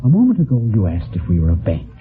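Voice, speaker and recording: A man's voice: deep voice, wildly swooping pitch, soft background music. A man playing a self-satisfied loan company businessman who explains things formally and wordily in an old-time radio detective drama. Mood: smug, explanatory. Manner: measured, wordy, formal explanations. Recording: tinny band-limited vintage radio broadcast.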